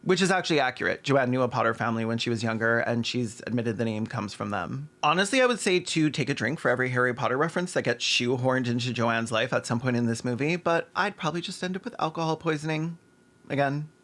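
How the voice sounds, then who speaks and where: Canadian guy doing snarky video essay commentary, kinda theatrical, average pitch voice. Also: fast talker, fairly bright tone. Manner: sardonic, theatrical. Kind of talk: comedic scripted commentary.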